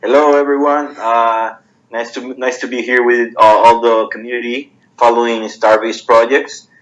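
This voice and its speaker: A man, a project partner and interview guest, greeting the audience in a polite, halting way. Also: medium pitch, little pitch movement, dull audio. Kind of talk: casual interview talk.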